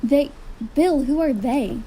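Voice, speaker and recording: A woman's voice: high voice, slow pace, dark tone, a little hiss or hum. A young woman playing a weary, sceptical investigative journalist in an audio drama, dry and exasperated. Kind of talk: naturalistic audio drama acting.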